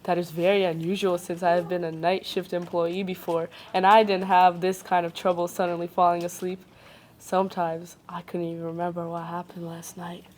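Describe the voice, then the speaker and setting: A woman's voice: lowish voice, level tone, a little mellow. A young adult woman, not a native English speaker, reading a ghost story aloud on a casual podcast, stumbling and stopping to joke. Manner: reads haltingly, breaks off to joke and laugh.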